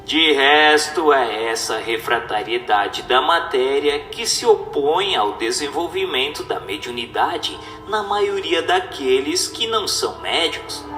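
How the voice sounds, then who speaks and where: A man's voice: a little high, animated, a little slow, hardly any pauses, bright, forceful tone. A man giving a dramatized, oratorical reading of a scripted text in an emphatic, didactic manner. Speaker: narrator reading a spiritist text. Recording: echoey hall reverb with music. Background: music.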